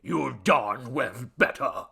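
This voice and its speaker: Man voicing a pompous storybook idol, ancient deity, irritable, gravelly, booming, formal, highly animated. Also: a little slow, full character voice. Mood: gruff, grumbling. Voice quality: rusty booming foghorn bass. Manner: grandiose, formal, declaiming.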